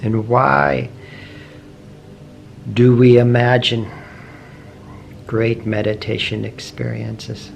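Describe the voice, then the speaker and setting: A man leading a guided meditation in a gentle, measured way, pausing often between short phrases. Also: mid-range voice, level tone, creaky, slight background noise. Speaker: meditation teacher. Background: soft meditation music.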